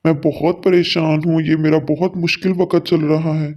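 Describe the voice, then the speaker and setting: A man's voice: on the high side, little pitch movement, normal speed, lo-fi sound, lightly acted. A man voicing a kindly, earnest father sparrow in a cartoon story, with a reassuring manner. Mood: earnest, pleading.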